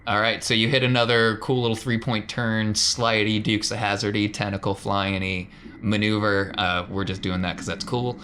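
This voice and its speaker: A man with a fairly low voice runs a tabletop game. He narrates casually as game master, with playful and informal descriptions. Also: level tone, normal speed, bright, forceful tone, a little hiss or hum.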